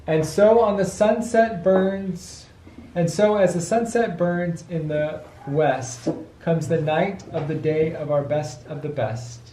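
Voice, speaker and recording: A man's voice: fairly high pitch, steady tone, takes it slowly, warm tone, a little hiss or hum. A man reading a children's rhyming book aloud as a father would to his child, sing-song with patient asides, picked up by a camera microphone a little way off. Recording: roomy echo, distant camera microphone.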